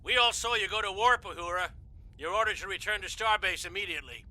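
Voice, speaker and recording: Hostile space-fleet admiral played by a man, high-pitched and piercing, pompous bully with a sarcastic bite, audio drama. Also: quick pace, pushed and bright, poor audio. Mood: accusing, gloating. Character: hostile, sneering male Starfleet admiral, middle-aged or older. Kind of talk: science fiction audio drama acting.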